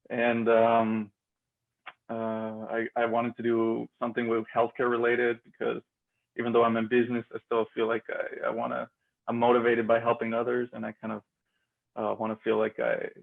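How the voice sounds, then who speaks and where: Guest voice: young man from Austria, gravelly and flat-toned, hesitant with lots of ums, heard over a thin phone-like line. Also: mid-range voice. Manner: hesitant, many fillers and restarts. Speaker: guest, MBA grad student. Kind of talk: casual interview.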